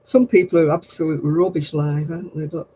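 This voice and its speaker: Older woman with a low, husky voice. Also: fairly even intonation, a little fast, mellow, poor audio. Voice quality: low, husky voice after throat surgery. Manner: rambling, chatty, gossipy with little laughs. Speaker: craft livestream host. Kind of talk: casual chat answering viewer comments.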